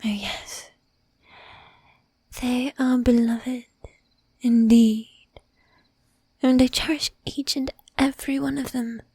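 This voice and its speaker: Woman whispering as a breathy, flirtatious lady vampire. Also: fairly high pitch, fairly even intonation, warm tone, no echo, exaggerated voice. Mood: silky, possessive. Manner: coaxing, affected old-fashioned formality, drawn-out teasing. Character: bossy, flirtatious, predatory lady vampire, sweetly menacing. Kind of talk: whispered ASMR roleplay. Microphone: close ASMR microphone.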